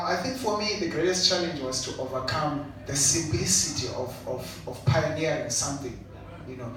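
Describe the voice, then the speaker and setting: A man's voice: a little high, a bit bright. A man from Zimbabwe speaking on an entrepreneurship panel, earnest and reflective, often adding "you know". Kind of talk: panel discussion answer. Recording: echoey hall from far off, noisy.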